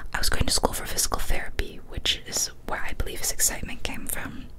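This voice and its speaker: A young woman reads a story aloud in a soft breathy ASMR whisper, hushed and deliberate, close to a studio microphone. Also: very high voice, rough recording. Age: young adult. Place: small decorated room indoors. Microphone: large studio microphone up close.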